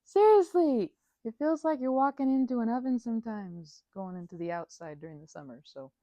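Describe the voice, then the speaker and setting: A woman's voice: a little high, lively intonation, a little fast, dark tone, dry recording, highs cut off. A woman in a casual ASMR roleplay, chatting informally as a best friend and often interrupting herself mid-thought. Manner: rambling, self-interrupting, informal and conversational.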